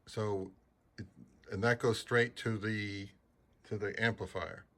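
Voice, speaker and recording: A man's voice: fairly low pitch, fairly even intonation, slow pace, a bit bright. A man hosting a casual interview, asking questions in everyday conversational speech. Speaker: host, interviewer.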